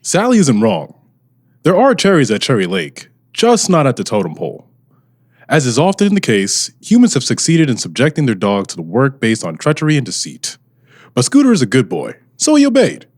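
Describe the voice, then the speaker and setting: A man's voice: medium pitch, wildly swooping pitch, normal speed, darkish tone. A man hosting and narrating a scripted radio drama. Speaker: host and narrator. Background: music.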